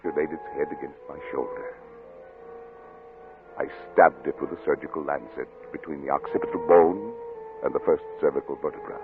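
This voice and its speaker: A cold, clinical scientist in a vintage radio play. His voice is extremely deep and gravelly, his delivery grave and matter-of-fact, with music prominent behind him. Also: fairly even intonation, normal speed, narrow, tinny audio. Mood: grim, detached. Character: coldly clinical scientist recounting his experiment. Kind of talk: 1940s radio acting. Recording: old thin radio recording with music.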